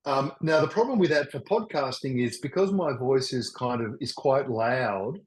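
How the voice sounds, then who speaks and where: Loud keen older guy teaching on a video call, mid pitch, echoey room. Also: expressive. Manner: loud and enthusiastic; halting, with many restarts. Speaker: workshop presenter. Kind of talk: teaching a webinar. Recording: roomy echo.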